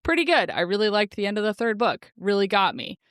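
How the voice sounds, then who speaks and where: A woman's voice: mid-range voice, animated, fast talker, fairly bright tone, no echo, studio quality. A woman co-hosting a podcast, chatting casually about books in a giggly, enthusiastic way. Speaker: podcast co-host. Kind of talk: casual book chat.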